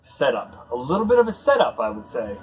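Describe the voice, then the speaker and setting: A man's voice: mid-range voice, sing-song, a little fast, a little hiss or hum, low-quality recording. A man hosting a comic book read-along, giving casual commentary in a rambling, conversational, fan-like way.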